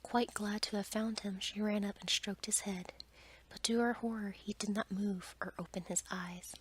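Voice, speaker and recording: A woman reading a bedtime story in a soft, breathy ASMR whisper, gentle and hushed. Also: mid-range voice, steady tone, normal speed.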